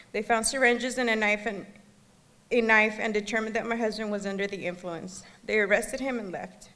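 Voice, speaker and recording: Woman, 30s, from El Salvador, earnest halting speech at a podium, long hall reverb. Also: fairly high pitch, fairly bright tone. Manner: earnest, halting, occasional restarts. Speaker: gala speaker. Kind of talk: personal testimony speech. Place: gala banquet hall.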